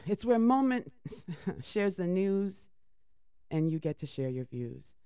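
A middle-aged woman with a deep voice, hosting a live radio show in chatty, rambling talk with laughs and restarts. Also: big pitch swings, takes it slowly, mellow, clear, resonant tone, no echo. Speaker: radio host.

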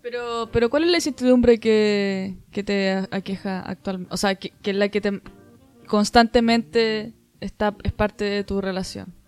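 Woman, podcast co-host, casual and halting, soft music under her. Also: medium pitch, steady tone, clear, resonant tone. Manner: hesitant, many restarts. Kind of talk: casual chat.

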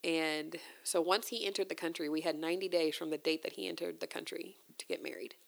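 A woman's voice: sits low, a little fast, creaky, low-quality recording. A woman guest talking casually and candidly, telling a personal story in conversation. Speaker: interview guest.

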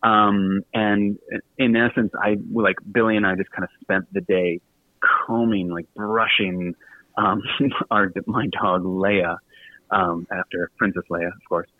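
An American man from Virginia, a guest author telling stories casually in an interview, chatty, halting and self-deprecating. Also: low voice, fairly even intonation. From Virginia, United States. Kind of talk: casual storytelling in a radio interview. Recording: tinny phone-like line with some room echo.

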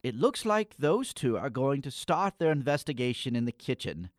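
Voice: mid-range voice, big pitch swings, normal speed, heavy background noise, close to an everyday voice. A performer playing a morose, exasperated boy in a comic radio play, with theatrical, old-fashioned phrasing. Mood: determined. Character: Eddie Poe, morose, exasperated boy in a spooky parody.

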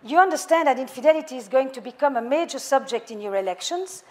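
A woman's voice: high-pitched, lively intonation, fast, darkish tone. A middle-aged woman with a French accent, a couples therapist speaking to a live audience in a thoughtful way, piling up lists of words. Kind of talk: public talk to a live audience.

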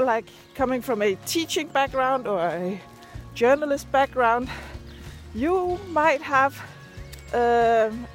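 Female vlogger, native Danish speaker with Danish accent, slightly high pitch, informal and searching for words, faint music present. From Denmark. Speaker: video and podcast creator. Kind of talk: casual vlog chat. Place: outdoors, on a walk. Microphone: handheld device at arm's length.

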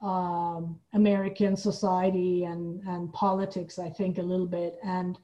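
A woman's voice: lowish voice, little pitch movement, unhurried, warm tone, dull audio. A woman who grew up partly in the Boston area, talking casually about politics in a reflective, informal way, as if thinking aloud. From Iran, partly raised in the Boston area. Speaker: podcast guest, playwright and artistic director.

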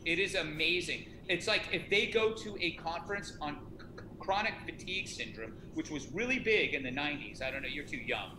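A middle-aged man talks casually and self-deprecatingly, restarting his sentences often. His voice sits a little high. The recording is echoey, with a clear noise bed underneath. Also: animated, a little fast. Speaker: author promoting a self-help book. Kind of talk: casual conversation. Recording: echoey room with noticeable noise.

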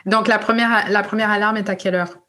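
A woman's voice: medium pitch, steady tone, a little fast, pushed and bright. Woman interviewer asking questions. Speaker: guest host interviewer. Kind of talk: interview conversation.

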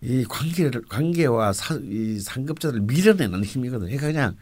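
A man's voice: medium pitch, expressive, brisk, a little mellow, slightly rough, dry recording. A man explaining a fortune reading in a conversational radio talk, with an explanatory, emphatic manner. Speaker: fortune-telling (myeongri) commentator.

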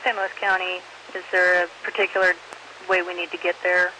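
High flat voice, terse radio calls, heavy static noise, emergency dispatch style. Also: brisk. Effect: two-way radio. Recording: noisy crackling emergency radio transmission.

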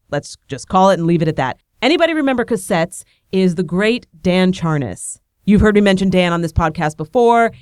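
A woman hosts her podcast in a bubbly, rambling, self-deprecating monologue, speaking with a cold. Her voice sits on the low side yet stays smooth and clear. The recording is dry and close, with no room sound around her. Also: darkish tone, no echo. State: has a cold. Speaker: podcast host. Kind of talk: casual chatty monologue.

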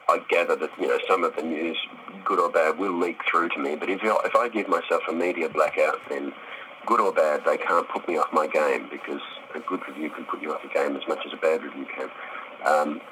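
A man's voice: low voice, background noise. A middle-aged man answering interview questions casually, thoughtful and hesitant, with some self-deprecation. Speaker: actor, interview guest.

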